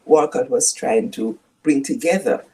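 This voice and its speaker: An older woman from Jamaica with a Jamaican accent, speaking as a guest professor in a measured, storytelling way that leans on key words. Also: a bass voice, mellow, slightly rough. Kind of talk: reflective interview conversation.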